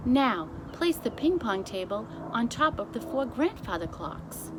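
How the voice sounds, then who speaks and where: A woman's voice: on the high side, sing-song, measured pace. A woman voicing a composed, commanding male royal butler in a children's storybook, giving formal, precise orders. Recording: clearly noisy amateur recording.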